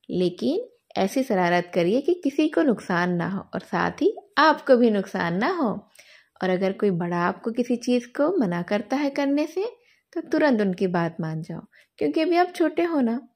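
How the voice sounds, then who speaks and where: A woman telling a story to children expressively, in a gentle, teaching way. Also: on the high side.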